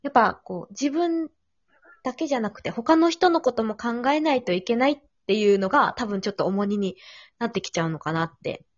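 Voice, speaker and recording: A woman's voice: fairly high pitch, expressive, brisk, no echo. A woman hosting a casual conversation, offering advice in polite, tentative, reflective phrasing. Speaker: podcast host. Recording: slightly dull lo-fi audio.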